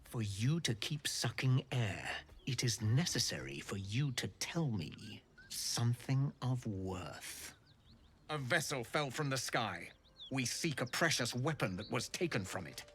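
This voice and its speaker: A man's voice: mid-range voice, big pitch swings, unhurried, a bit bright, slight background noise. A man playing a haughty, menacing alien warrior commander in a fantasy video game, imperious and contemptuous. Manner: imperious, contemptuous, formal threats.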